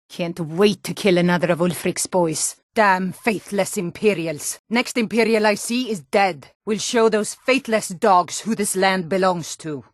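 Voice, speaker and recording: A woman's voice: lowish voice, no echo, clean studio sound, close to an everyday voice. An actress voicing a tough, proud Nord woman soldier and guard in a video game, blunt and commanding in manner. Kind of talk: video game character voice lines.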